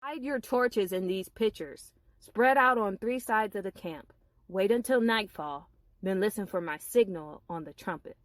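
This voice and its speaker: A woman's voice: medium pitch, measured pace, no room sound, lightly acted. A woman voicing Gideon, an anxious, reluctant male warrior, in an earnest, pleading storybook manner. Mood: commanding.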